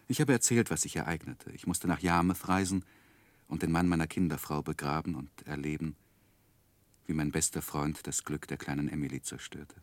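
An actor playing a gentleman who tenderly recalls his infatuated youth, narrating a 1960s German radio drama in a wistful, literary manner. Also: low voice, dry recording. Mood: sober, reflective. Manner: wistful, ornate literary phrasing.

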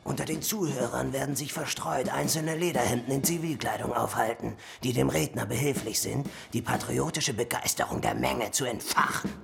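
A zealous stormtrooper envoy in a radio play. He is an officious, insistent man with an extremely creaky, gravel-filled voice at a middling pitch, with music in the production. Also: normal speed, few pauses. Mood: zealous, commanding. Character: zealous, formal male fascist party stormtrooper envoy. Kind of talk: German radio drama acting.

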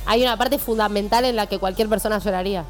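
A woman's voice: mid-range voice, quick pace. A woman with a Rioplatense Argentine accent giving an informal film review as a radio columnist, talking back to her co-hosts. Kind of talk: casual chat, film review. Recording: music playing behind the voice. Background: background music.

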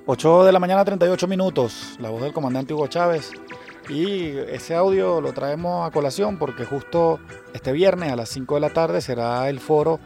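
A Venezuelan man hosting a radio news program, reading items briskly and introducing a correspondent. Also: medium pitch, creaky, darkish tone. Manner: brisk announcer delivery. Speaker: radio host. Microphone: studio microphone.

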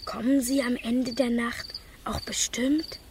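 Young boy, timid, earnest, high voice, unhurried, radio play, faint crickets behind. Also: level tone, noisy background. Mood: uneasy. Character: young schoolboy nephew, timid, curious and earnest. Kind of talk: German radio drama acting.

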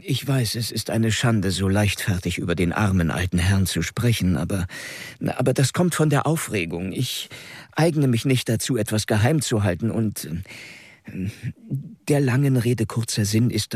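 An actor playing an agitated young gentleman in a radio drama, nervously flippant, flustered and talkative. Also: fairly low pitch, expressive, normal speed, husky, no echo, clean studio sound. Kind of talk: German radio drama acting.

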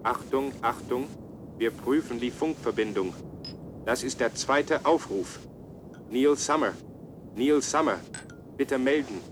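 An actor playing a brisk, official base camp radio operator making formal calls in a science-fiction radio play. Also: male voice, natural voice. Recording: noisy, rumbling field radio link.

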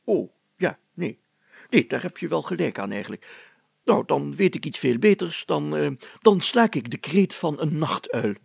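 A man voicing an excitable, self-important village mayor in a storybook, chatty, pompous and full of exclamations. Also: fairly high pitch, measured pace, gravelly, slightly rough, dry recording, heavily acted. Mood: blustering, self-assured.

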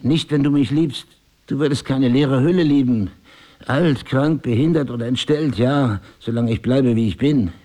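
An actor playing a confused, mistrustful amnesiac husband in an intimate radio drama, brooding and questioning. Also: male voice, medium pitch, moderate pace, warm tone, natural voice. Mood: agitated, pleading. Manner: monologue-like narrating, brooding, questioning.

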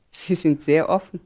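A woman's voice: low-pitched, mellow, full character voice. An actress playing a resolute, candid society woman and mother in a German radio play, earnest and articulate.

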